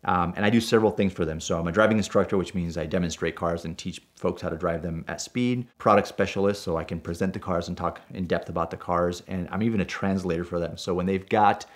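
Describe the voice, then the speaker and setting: Deep-voiced male driving instructor, native Spanish speaker, animated casual storyteller. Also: quick pace, few pauses.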